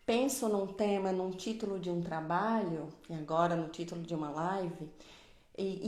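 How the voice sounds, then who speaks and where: Middle-aged woman from Pernambuco, Brazil, lecturing live on dentistry, didactic, with mid-range pitch and roomy sound. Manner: warm didactic, frequent tag questions. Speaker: dentistry professor.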